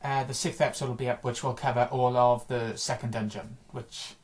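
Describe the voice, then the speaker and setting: A man chatting casually about video games, rambling and offhand, his voice a little hoarse after a day of recording. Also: mid-range voice, little pitch movement, a little fast. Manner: rambling, offhand, frequent restarts and small chuckles. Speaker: gaming vlogger.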